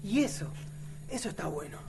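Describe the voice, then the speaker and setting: An earnest, outspoken working-class Argentine militiaman in a radio drama. He talks in slangy Rioplatense street speech, in a high-pitched voice over faint noise. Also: lively intonation, fairly quick, darkish tone. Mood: earnest, hopeful. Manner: colloquial, slangy.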